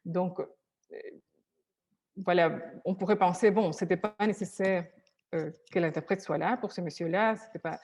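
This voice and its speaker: A woman's voice: on the low side, unhurried, long pauses, a little mellow. A woman therapist whose first language is Spanish, speaking hesitantly with many restarts and fillers.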